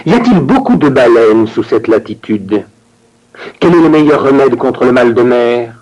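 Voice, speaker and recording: A man reading scripted dialogue for a language course with an expressive, theatrical delivery. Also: mid-range voice, moderate pace, rough recording.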